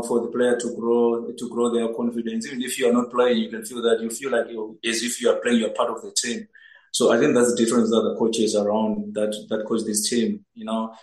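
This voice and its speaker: An earnest young goalkeeper originally from Zimbabwe, answering questions in a flat, even voice that barely rises or falls. He stumbles and repeats words as he gathers his thoughts. The sound carries a noticeable room echo. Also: mid-range voice. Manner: earnest, repeats words while searching for them. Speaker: footballer, goalkeeper. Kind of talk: interview answers over a remote link. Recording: echoey room.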